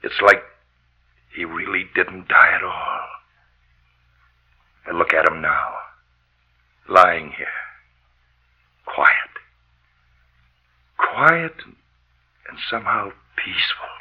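Obsessed morgue worker, low husky voice, brooding, halting, intense, 1940s radio drama, dull lo-fi recording. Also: male voice, animated, exaggerated voice. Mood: haunted, hushed. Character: haunted, obsessed morgue worker losing his mind.

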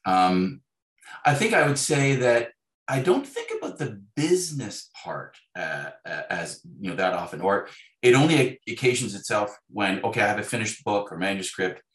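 A man in his fifties speaking as an author being interviewed, in a thoughtful, halting way with repeated words. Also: lowish voice, big pitch swings. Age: 50s. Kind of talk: reflective conversational interview. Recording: echoey, roomy sound.

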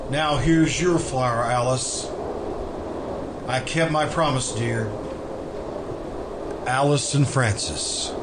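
A man playing a troubled, guilt-haunted murderer in an old-time radio drama, halting and intense. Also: mid-range voice, heavy background noise. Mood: grim, resigned.

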